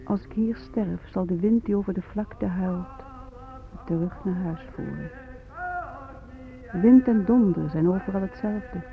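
Earnest, high-voiced young man. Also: measured pace, vocal fry. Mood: melancholy. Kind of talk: Dutch radio play acting. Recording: dull, lo-fi radio recording.

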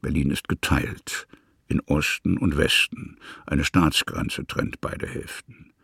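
A man narrating a literary story expressively in short, deliberate phrases, with a very deep, husky, gravelly voice. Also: steady tone, a bit bright, no echo, clean studio sound.

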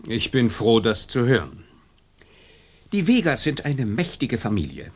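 An actor playing an imperious, vengeful colonial governor in a German radio drama, speaking with haughty command. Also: male voice, mid-range voice, huge pitch swings, a little slow, slightly rough, exaggerated voice. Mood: brooding.

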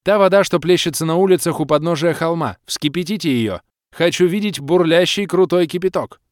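A man voicing a fierce woman clan leader in a fantasy audiobook, barking crisp battle orders. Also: fairly high pitch, brisk, no room sound, studio quality, voice pushed up, natural voice. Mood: firm, commanding.

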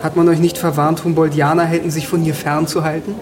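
An actor playing a bookish young East German philosopher in a radio drama, narrating and talking with wry, musing irony. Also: male voice, on the high side, little pitch movement, quick pace, a crowd in the background, lightly acted. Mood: intrigued.

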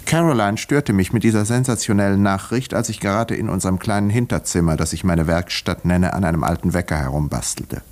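An actor playing a wry village doctor who narrates a murder mystery in a 1950s German radio play, measured and educated. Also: male voice, deep voice, a little mellow, lightly acted. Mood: measured narration.

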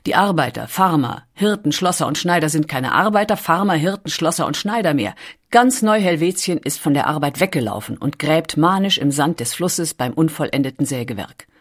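Female narrator with a very low pitch, measured and dramatic, for scripted historical documentary storytelling. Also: lively intonation.

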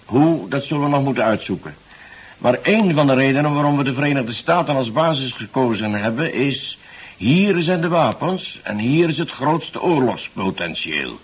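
An actor playing a cold, scheming alien-controlled leader in a 1970s radio drama, measured and coolly authoritative. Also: male voice, mid-range voice, expressive, husky. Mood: cold, menacing. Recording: dull, band-limited 1970s radio recording.

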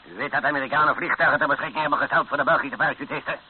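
An actor playing a brutal, domineering rebel colonel in a radio drama, barking commands. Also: male voice, a little high, rapid-fire, close to an everyday voice. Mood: cold, threatening. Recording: muffled lo-fi radio recording with hiss.

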